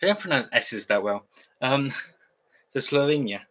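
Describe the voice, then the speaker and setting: Young male streamer, chatty and halting, slow unhurried delivery, bright tone. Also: medium pitch, animated. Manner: rambling, halting, with many restarts. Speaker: livestreamer. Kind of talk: casual livestream chat.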